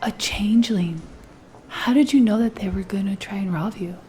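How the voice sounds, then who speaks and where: A woman's voice: mid-range voice, moderate pace, mellow, soft background music, natural voice. A woman playing a wry, streetwise young tour guide in a sci-fi audio drama. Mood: hushed surprise. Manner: wry, cheeky.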